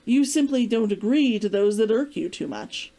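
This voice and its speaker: A woman reading aloud as a protective, gently teasing man in a storybook, measured and probing. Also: on the high side, animated. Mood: concerned. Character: concerned, teasing adult man, protective old flame. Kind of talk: storybook character dialogue read aloud.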